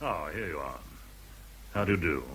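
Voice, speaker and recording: An actor playing a courteous middle-aged English commanding officer, clipped and blustery, in a radio drama. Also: male voice, sits low, lively intonation, normal speed, a bit bright, husky, exaggerated voice. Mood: brisk, concerned. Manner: clipped, blustery, old-boy politeness.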